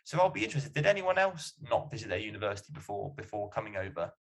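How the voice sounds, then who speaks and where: A man's voice: lowish voice, very animated, fast talker, creaky. A man hosting a student question-and-answer panel in a conversational, encouraging way. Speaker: panel host.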